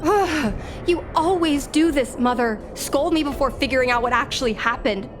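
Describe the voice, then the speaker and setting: An actress playing a headstrong, impetuous young daughter in a video game. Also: female voice, high voice, normal speed, natural voice. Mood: defiant, exasperated. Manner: petulant, impetuous. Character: headstrong, defiant young daughter, eager pilot. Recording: music behind the voice.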